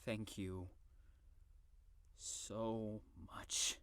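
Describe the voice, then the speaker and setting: An actor playing a troubled, self-loathing teenage boy confessing in an audio drama, speaking in a halting, emotional, bitterly self-mocking way. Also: on the low side, flat delivery, a bit bright, clear, resonant tone, no room sound. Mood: subdued, bitter. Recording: music behind the voice.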